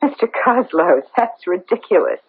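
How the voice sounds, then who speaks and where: An actress playing an embittered, vengeful middle-aged socialite in a radio drama, with an intense, challenging, theatrical delivery. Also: female voice, mid-range voice, lively intonation, normal speed, slightly rough. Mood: defensive. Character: embittered, vengeful socialite wife hiding a painful past. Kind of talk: 1970s radio drama acting. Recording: old radio broadcast, thin narrow phone-like sound.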